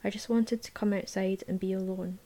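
A woman's voice: mid-range voice, fairly even intonation, brisk, dark tone, natural voice. A woman voicing a prickly, self-conscious young female university student who talks bluntly and defensively.

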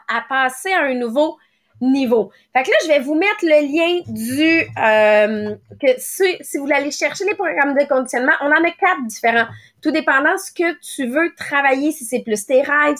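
A woman in her late thirties with a Quebec French accent, hosting a motivational morning podcast in a quick, conversational way. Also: sits high, lively intonation, fairly bright tone. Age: late 30s. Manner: quick, conversational, acts out little quoted thoughts. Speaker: podcast host.

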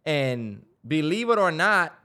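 A man's voice: a little high, big pitch swings, takes it slowly, pushed and bright. American man, slangy podcast co-host. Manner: slangy, profane, emphatic advice-giving. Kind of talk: casual chat.

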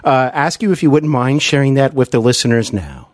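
An American man hosts a conversational interview. His voice sits at an average pitch and he phrases things earnestly, with some hesitation. The recording is dry, with little room sound. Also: animated, fairly quick, no echo. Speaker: podcast host, interviewer.

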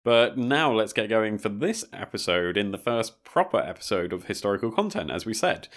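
Chatty Englishman with a lowish voice. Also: sing-song, moderate pace, a bit bright. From southern England. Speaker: podcast co-host. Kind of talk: casual chat.

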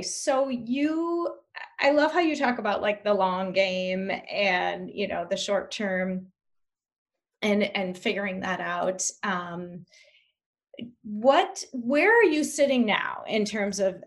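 A woman co-hosting an interview, asking a hesitant, searching question full of fillers. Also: mid-range voice. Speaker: podcast co-host. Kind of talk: casual interview chat.

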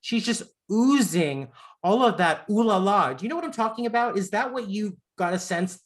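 A man's voice: high voice, expressive, normal speed. A man around forty from the American South, hosting a casual celebrity interview in an effusive, campy, joking way. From born in Long Island, raised in Florida. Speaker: interviewer host.

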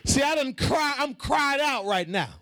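Male preacher, very high voice, slow emphatic delivery with repeated call-and-response lines, swelling toward half-sung shouts. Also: expressive, slight background noise. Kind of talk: fervent sermon to a congregation.

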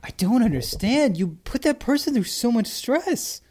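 A woman's voice: a little high, sing-song, normal speed. A woman playing an earnest rookie in a comedic audio drama. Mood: doubtful, softening. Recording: clear background noise, clicks.

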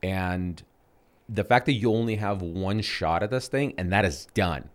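A man's voice: sits low, unhurried. A man in his thirties co-hosting a casual chat, rambling with many restarts and fillers. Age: 30s. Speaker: podcast co-host.

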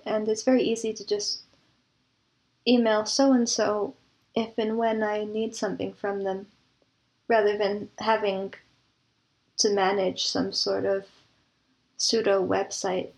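A woman's voice: mid-range voice, flat delivery, unhurried, long pauses, a little mellow, poor audio. A woman speaking conversationally as an interview guest, explaining her creative work in earnest detail. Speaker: interview guest, audio drama producer and composer.

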